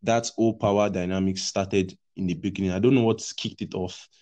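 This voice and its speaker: A man's voice: low voice, steady tone, brisk, dark tone. A Nigerian man with a Nigerian accent hosting a podcast in casual, rambling chat, often repeating words and laughing. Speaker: podcast host.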